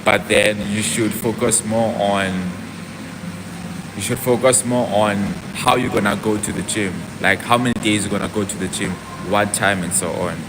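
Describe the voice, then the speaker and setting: Lowish male voice, chatty livestream vlogger. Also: steady tone, normal speed, background noise. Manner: chatty, answers viewer comments aloud mid-thought. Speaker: fitness vlogger. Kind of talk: casual livestream chat with viewers.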